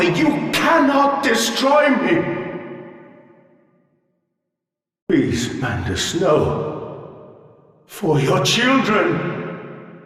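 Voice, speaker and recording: A man's voice: fairly high pitch, exaggerated voice. A male actor playing a grandiose, menacing and pleading sentient machine god in a video game, with a theatrical, oratorical delivery that swings from coaxing to ranting. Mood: desperate, begging. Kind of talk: dramatic video game monologue. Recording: cavernous echoing hall, distant voice.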